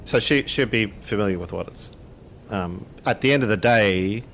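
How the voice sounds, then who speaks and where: Halting low-voiced man over faint insect noise. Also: fairly bright tone, low-quality recording. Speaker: game master. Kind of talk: casual out-of-character table talk.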